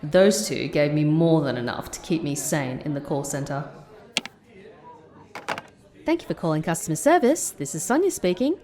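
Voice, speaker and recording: A woman playing a composed, wry former agent working in a call centre in an expressive audio drama. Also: deep voice, moderate pace, long pauses, roomy sound. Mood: polite, professional. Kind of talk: audio drama narration and dialogue.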